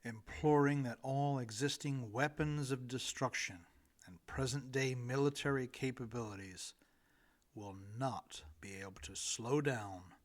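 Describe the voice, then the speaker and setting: Guy reading his own audiobook, really slow, mid pitch, pauses for drama. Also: fairly even intonation. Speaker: author reading own book. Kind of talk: audiobook narration of humorous essay.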